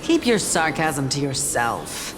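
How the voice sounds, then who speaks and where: An actress playing a haughty, solemn learned sage with a proud, dry delivery in a video game drama. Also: female voice, deep voice, very animated, slow pace, heavily acted. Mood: haughty, grumbling. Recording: game dialogue over prominent music.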